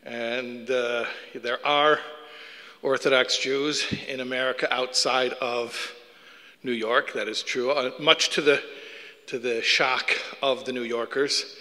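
A man with a Chicago accent gives an earnest, joke-laced talk, often halting and restarting his sentences. His voice has a crisp, forward brightness and sits in a mid range. It carries with a long echo through a large hall. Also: mid-range voice, fairly even intonation. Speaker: guest lecturer. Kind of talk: public lecture with jokes.

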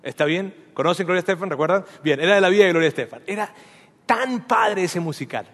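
A man's voice: high-pitched, animated, fast, a bit bright, long reverb tail. A man from Venezuela, preaching a sermon with humorous stories and asking his audience questions.

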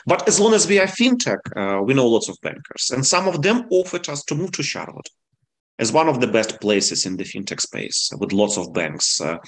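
Ukrainian-accented startup founder, earnest. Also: male voice, medium pitch, sing-song, a little hiss or hum. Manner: earnest, halting non-native phrasing. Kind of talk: interview answers.

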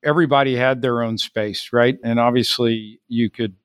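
Easygoing co-host guy, rambly questions, medium pitch, dry sound. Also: fairly even intonation, moderate pace, clean tone, no room sound. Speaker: podcast co-host. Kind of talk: casual podcast conversation.

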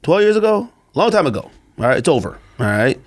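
A man's voice: on the high side, big pitch swings, fast. A man co-hosting a casual sports podcast, pushing his opinions emphatically and repeating himself for effect.

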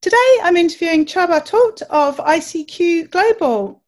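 A woman hosts a podcast, reading her intro and then chatting with a guest. Her voice sits high, and she sounds upbeat and encouraging as she asks her questions. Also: expressive, normal speed, over loud music. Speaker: podcast host. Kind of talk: scripted intro and casual interview.

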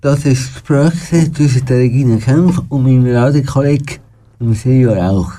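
Very dark-voiced radio co-host. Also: male voice, mid-range voice, normal speed. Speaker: radio talk show co-host. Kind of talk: announcing between segments. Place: radio studio.

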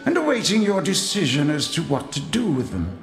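A calm, commanding old wizard, put on by a male narrator. He speaks slowly and gravely in a raised, lively voice. A roomy echo surrounds him. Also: on the high side, faint music behind, pitched above the performer's own voice, full character voice. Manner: measured, grave.

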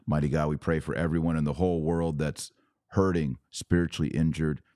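Very deep-voiced male religious podcast host. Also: little pitch movement, a little fast, warm tone, no echo, studio quality. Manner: earnest, emphatic, preacherly, direct address. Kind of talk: live religious commentary to viewers, with exhortation and prayer.

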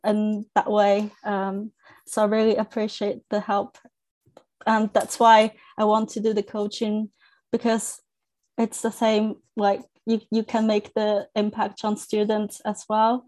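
A young woman from China whose first language is Chinese, speaking earnestly as a guest on a podcast interview, with thoughtful pauses and restarts. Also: mid-range voice, completely flat delivery, darkish tone. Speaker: academic coach, guest.

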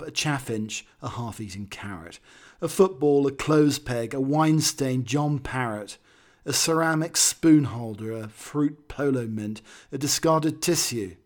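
A man with a croaky voice hosting a podcast, delivering scripted comic monologues and readings in a wry, theatrical manner. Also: mid-range voice, a little mellow. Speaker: podcast host.

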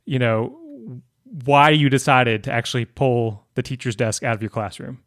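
A man's voice: medium pitch, moderate pace, a bit bright, no room sound, studio quality. A man hosting an education podcast, talking in a chatty, conversational way with frequent fillers. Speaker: podcast host. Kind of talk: podcast interview.

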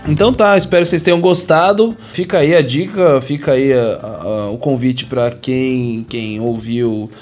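A man's voice: medium pitch, normal speed, hardly any pauses, a little mellow, muffled recording, soft background music. A Brazilian man with a cold, co-hosting a podcast in a hesitant, casual way, often repeating himself. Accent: Brazilian Portuguese. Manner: hesitant, repeats words, frequent "né?". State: has a cold. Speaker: podcast co-host.

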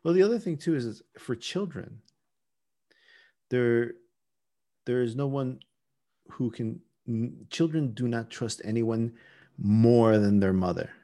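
Halting, casual male podcast co-host. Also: medium pitch, mellow. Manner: halting, circles back and repeats words while thinking. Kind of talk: casual conversational discussion of folklore.

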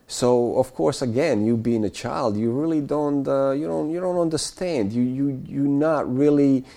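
A man from Bosnia, around forty, recalling his childhood as an interview guest, speaking haltingly with many fillers and restarts. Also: medium pitch, creaky, warm tone. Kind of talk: casual spoken recollection.

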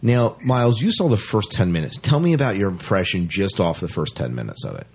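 Husky low-voiced man podcasting. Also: very animated, a little fast, darkish tone. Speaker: podcast host reviewing a fan film. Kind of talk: casual chat and review.

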